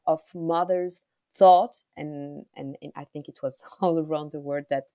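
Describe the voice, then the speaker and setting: Low-voiced woman, halting speech. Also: darkish tone, dry recording. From Rwanda. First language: Kinyarwanda. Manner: halting, repeats words as she searches for them. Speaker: author, guest. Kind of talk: interview answers about her novel.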